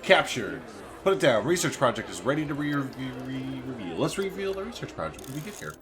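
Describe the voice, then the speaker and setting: Animated male gamer commentating casually. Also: mid-range voice, fast, crowd noise. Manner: chatty, informal, thinks aloud while playing. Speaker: gaming video host. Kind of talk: casual let's-play commentary. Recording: faint background noise.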